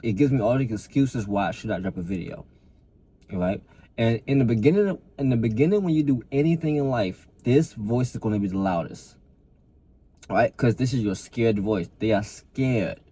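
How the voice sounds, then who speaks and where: A man's voice: mid-range voice, moderate pace, dark tone, breathy edge. A young adult man talking casually to camera as a fitness coach, earnest and motivating, often repeating and restarting words.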